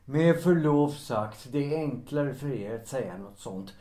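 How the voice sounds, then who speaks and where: An actor playing a resigned, deferential servant with quiet bitterness, formal and guarded, in a period audio drama. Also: male voice, medium pitch, expressive, natural voice. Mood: bitter, resigned. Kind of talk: period audio drama acting, 1930s setting. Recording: roomy, echoing sound.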